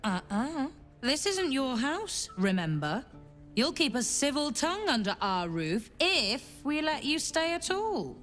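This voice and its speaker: A woman playing a brisk, bossy grown daughter in a video game, blunt and teasing but fond underneath. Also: sits high, very animated, a bit bright, faint music behind, heavily acted. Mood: stern, teasing. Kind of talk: video game dramatic acting.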